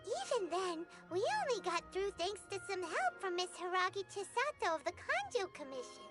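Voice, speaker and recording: A woman voicing a tiny, excitable floating fairy companion in a video game, with a chirpy, eager, childlike manner. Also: very high voice, normal speed. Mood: matter-of-fact, curious. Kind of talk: video game character acting. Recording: music behind the voice.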